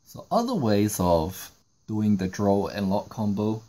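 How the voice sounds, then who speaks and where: A man's voice: fairly low pitch, sing-song, measured pace, warm tone. A man narrating a step-by-step card game tutorial, explaining each move in sequence. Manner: explanatory, walking through moves in sequence. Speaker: trading card game YouTuber.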